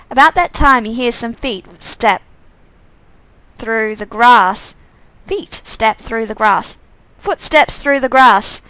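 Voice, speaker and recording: A woman's voice: on the high side, pushed and bright, dry recording, low-quality recording. A woman streamer reading a visual novel game aloud, stumbling over lines and re-reading them with asides to herself.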